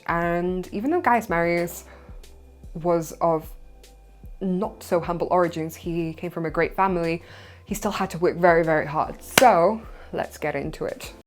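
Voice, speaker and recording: Chatty Welsh woman, lowish voice. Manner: chatty and informal, with loose asides. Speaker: history channel host. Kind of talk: casual educational monologue.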